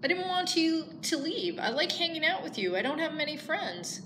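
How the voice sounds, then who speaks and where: A woman's voice: sits high, big pitch swings, normal speed, echoey room, noisy background, pitched above the performer's own voice. A woman voicing a needy, hungry storybook bear in a plaintive, hesitant manner. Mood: sheepish, earnest. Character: needy, hungry bear who wants a friend.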